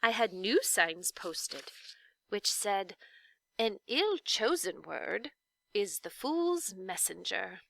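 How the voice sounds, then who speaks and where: A woman voicing a kindly, dignified old witch in a children's storybook, gentle, deliberate and formal in manner. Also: mid-range voice, big pitch swings, gravelly, no room sound, full character voice, rough recording. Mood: wistful, measured. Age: older. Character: kindly, dignified old lady, a not-so-wicked official witch. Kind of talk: children's storybook read-aloud.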